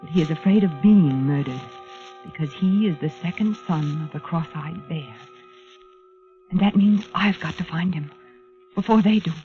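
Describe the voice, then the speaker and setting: A woman's voice: low-pitched, normal speed, lightly acted. An actress plays a determined, anxious young woman in an old-time radio drama. Her delivery is earnest, urgent and emphatic. The recording is muffled and dull, with some music behind. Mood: anxious, brooding. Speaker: lead actress.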